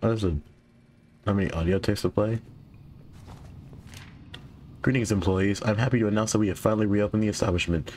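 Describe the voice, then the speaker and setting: Deep-voiced young gamer losing his voice. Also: a little fast, pauses often, a little mellow. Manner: casual, stumbling reads with self-corrections. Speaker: gaming YouTuber. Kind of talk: horror game let's play, reading notes aloud.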